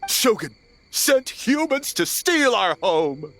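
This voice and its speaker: An actor voicing a panicky, distrustful young male tanuki creature in an animated game, alarmed and exclamatory. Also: medium pitch, takes it slowly, full character voice. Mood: accusing. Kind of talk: animated game cutscene acting.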